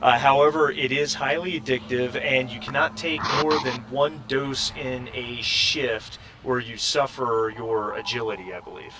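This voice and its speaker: A man running a tabletop roleplaying game as game master, speaking in a halting, thinking-aloud way. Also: mid-range voice, fairly even intonation. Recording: clearly noisy background hum.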